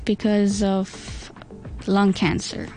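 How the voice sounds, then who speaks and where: A woman's voice: medium pitch, level tone. Hesitant teen girl, faint music behind. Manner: soft, hesitant, slow pauses. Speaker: student guest. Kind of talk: personal storytelling.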